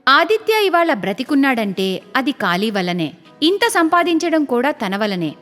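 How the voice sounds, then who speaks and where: A woman with a fairly high voice reads a scripted story for a cartoon, in storybook narration. Her voice carries a long reverb, and music plays behind her. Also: very animated, normal speed, a bit bright. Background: background music.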